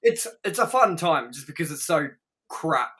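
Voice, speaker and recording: Male review co-host, higher-pitched and forceful, blunt and sweary, conversational delivery. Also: very animated, normal speed. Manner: emphatic, sweary, opinionated. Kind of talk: casual movie review chat.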